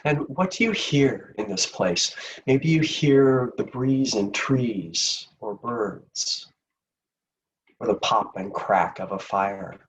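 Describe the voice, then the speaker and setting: A man leading a guided meditation in a gentle, soothing, unhurried manner with long pauses between phrases. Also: on the low side, expressive, distant mic, slight background noise, rough recording.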